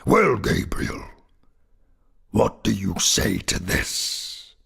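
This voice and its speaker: A man voicing a stern, sneering, malicious goblin in a storybook reading, with a deep, forceful voice and a slow, taunting way of drawing out words. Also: very animated, gravelly, husky, exaggerated voice. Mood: mocking.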